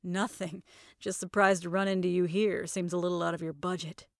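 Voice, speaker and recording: A woman's voice: on the low side, level tone, brisk, no room sound, clean studio sound, pitched below the performer's own voice, close to an everyday voice. A woman playing a snooty, disapproving older mother-in-law in comic audio fiction, with a clipped, condescending, snarky delivery. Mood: haughty irritation.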